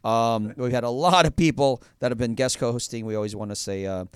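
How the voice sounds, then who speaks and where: A man's voice: medium pitch, fairly quick, clean tone, no echo. A man hosting a radio show in a studio, chatting casually, full of fillers and restarts. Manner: rambling, many fillers and restarts.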